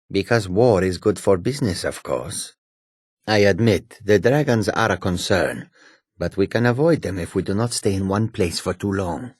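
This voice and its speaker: Male cat-folk merchant, raspy, courteous, formal, fantasy game character, close dry sound. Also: sits low, dry recording, exaggerated voice. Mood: shrewd, assured, then uneasy, pondering. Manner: measured, formal, archaic phrasing. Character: male cat-folk caravan trader, courteous, wary, homesick.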